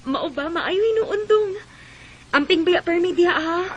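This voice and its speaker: An actress playing a young wife in a radio drama, trusting but easily hurt, with a Cebuano Filipino accent. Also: female voice, extremely high pitch, measured pace, highs cut off, slight background noise. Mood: concerned, tender.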